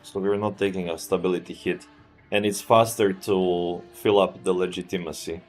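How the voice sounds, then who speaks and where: Man, very low voice, Serbian accent, relaxed live game commentary, faint music behind. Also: normal speed. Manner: relaxed, thinking aloud, often trails off and restarts. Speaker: streamer.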